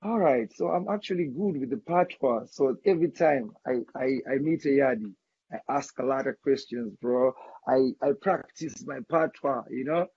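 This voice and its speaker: A man from Zimbabwe, a guest artist talking casually in an interview, chatty and quick to repeat himself. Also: mid-range voice, a little mellow, a little hiss or hum. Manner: chatty, repeats words, says bro a lot. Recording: dull lo-fi sound.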